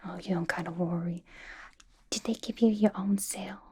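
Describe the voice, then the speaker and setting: A woman voicing a playful, unhinged young villain inmate in a chummy, slangy ASMR roleplay, mostly in a breathy whisper. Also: mid-range voice, steady tone, normal speed, warm tone, slight background noise, natural voice. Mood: reassuring, chummy.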